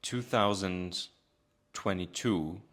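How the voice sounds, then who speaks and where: A man's voice: sits low, takes it slowly, a bit bright. A German man with a German accent, hosting and interviewing on a podcast.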